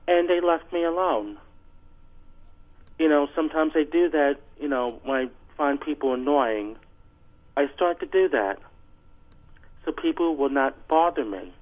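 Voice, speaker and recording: A man calling a radio show over a phone line, reading aloud and bantering in a halting, insistent, deadpan way. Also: a little high. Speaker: call-in guest on a radio show. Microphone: telephone. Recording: tinny, narrow phone line.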